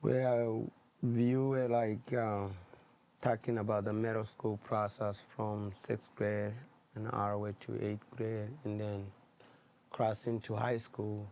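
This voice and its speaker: A man from DR Congo, a podcast guest talking in a halting, hesitant way. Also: lowish voice, flat delivery, a little mellow, low-quality recording. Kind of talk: casual podcast conversation.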